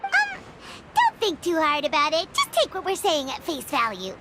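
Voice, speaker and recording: A woman voicing a small, childlike fairy companion in a video game, squeaky, earnest, excitable and inquisitive. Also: normal speed, heavy background noise, heavily acted. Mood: matter-of-fact, earnest. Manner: speaks of herself in the third person, exclamatory. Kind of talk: animated video game voice acting.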